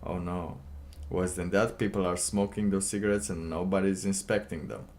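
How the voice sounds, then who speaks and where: A man doing casual livestream commentary, reading aloud and reacting in a halting, sardonic way, often repeating his phrases. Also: low voice, fairly even intonation, darkish tone. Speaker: livestream host.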